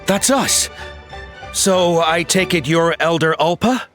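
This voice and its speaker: Eager merchant from a video game, high lively voice, bit of music behind. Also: male voice, slow. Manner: salesman-like, animated. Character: male traveling merchant, eager slick salesman.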